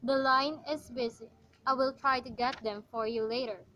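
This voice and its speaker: A woman's voice: high-pitched, fairly even intonation, moderate pace, sharp tone. A woman playing a polite, procedural telephone operator in an amateur radio play, with a formal, unruffled manner. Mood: calm, formal.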